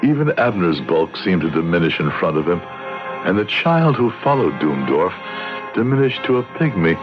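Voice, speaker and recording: An actor playing a genteel, articulate frontier lawyer and judge in a radio drama, formal and courteous. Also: male voice, deep voice, big pitch swings, moderate pace. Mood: reflective. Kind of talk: radio drama acting and narration. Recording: lo-fi radio recording with music behind.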